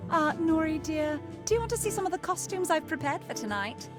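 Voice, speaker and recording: A woman voicing a bossy, theatrical young rich lady in a game, with an affected, haughty and effusive way of talking. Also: high voice. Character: young rich woman, prancing, bossy, theatrical, fussy older-sister type. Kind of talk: visual novel game voice acting. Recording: music playing behind.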